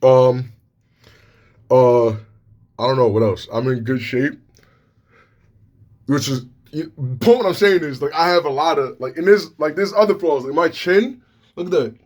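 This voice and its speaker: Young male vlogger, deep, slightly slurred delivery, loose and frank, swears freely. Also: measured pace, long pauses, darkish tone. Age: young adult. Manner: rambling, frank, profane, many restarts. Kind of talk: casual talk to camera.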